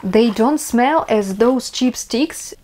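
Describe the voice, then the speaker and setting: A woman's voice: fairly high pitch, slow, warm tone, slight background noise. A young woman from Russia with a Russian accent, chatting casually while unboxing a haul in her bedroom, marvelling at each item. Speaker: vlogger. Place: small bedroom, indoors.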